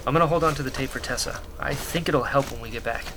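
An actor playing a naive, gentle young medic in a sci-fi radio drama, full of innocent, curious questions. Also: medium pitch, quick pace, background noise, lightly acted. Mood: earnest, curious.

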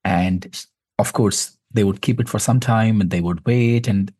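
A man with an Indian accent hosting a podcast, speaking reflectively with measured, deliberate phrasing. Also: lowish voice, a little mellow, breathy edge, dry recording, clean studio sound. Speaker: podcast host. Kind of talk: reflective conversation.

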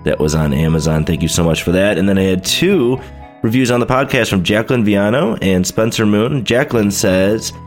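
Low-voiced guy with a head cold, chatty podcast host rambling, soft music behind. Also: expressive, brisk. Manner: chatty, loose, lots of fillers. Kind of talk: casual solo podcast talk.

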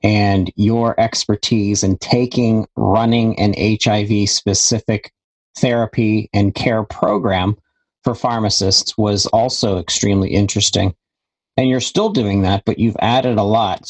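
A man's voice: on the low side, low-quality recording. A man hosting a podcast network show, welcoming a guest with enthusiasm. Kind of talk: casual interview hosting.

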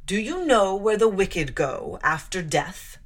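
A woman voicing a tall, stern clergyman who questions a child slowly and gravely. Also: medium pitch, very animated, heavily acted. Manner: slow, loud, grave questioning. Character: stern solemn man, tall clergyman, bass voice.

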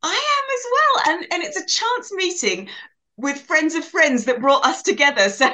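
A woman's voice: high voice, very animated, moderate pace. A woman hosting a podcast interview, affirming and emphatic as she introduces and responds to her guest. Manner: warm-spoken, affirming, emphatic. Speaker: podcast host.

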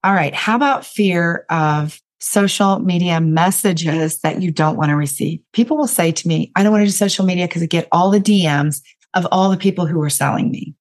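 A woman hosting a solo podcast for coaches, raspy from a cold, talking directly and encouragingly to her listeners. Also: fairly low pitch, moderate pace. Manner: direct, encouraging, addresses listeners as you guys. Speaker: podcast host and business coach.

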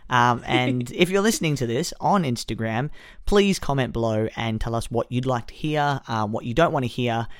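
A man's voice: medium pitch, moderate pace, clean tone, no room sound, studio quality. A man co-hosting a casual podcast, chatty and self-deprecating, often trailing off and restarting his sentences. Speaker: podcast co-host. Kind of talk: casual chat.

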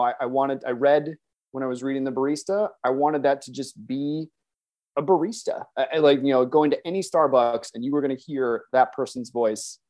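A man's voice: mid-range voice, a little mellow. An American man from the Chicago suburbs chatting casually as an interview guest, in a self-deprecating, chuckly way. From suburban Chicago, United States.

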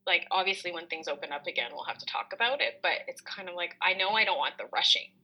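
A woman's voice: lowish voice, lively intonation, quick pace, muffled recording. A woman co-hosting a reflective, conversational talk, speaking candidly and explaining things with plain, relatable examples. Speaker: co-host.

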